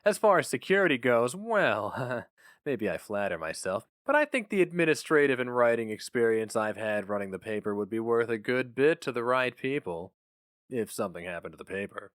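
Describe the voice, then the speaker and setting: A man's voice: mid-range voice, big pitch swings, moderate pace, fairly bright tone, no room sound, studio quality. A man voicing an urbane young male job applicant who is polite, eager and affectedly casual. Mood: earnest, polite.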